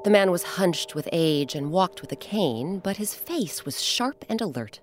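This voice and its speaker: A woman's voice: on the low side, animated, a little slow, soft background music. A woman hosting and narrating a children's story, with dramatic, emphatic phrasing. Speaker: host and narrator.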